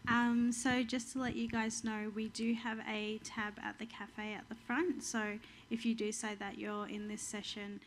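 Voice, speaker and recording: Flat-voiced Australian woman doing housekeeping. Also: a little high, measured pace, few pauses, slight background noise. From Katherine, Northern Territory, Australia. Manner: plain, friendly, hesitant with fillers. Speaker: community engagement social worker. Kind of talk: event housekeeping and introduction.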